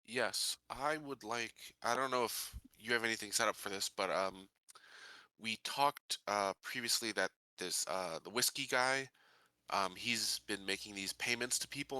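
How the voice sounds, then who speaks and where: A man's voice: lowish voice, sharp tone, no room sound, low-quality recording. A man playing a tabletop game, tentatively piecing his plans together in casual talk.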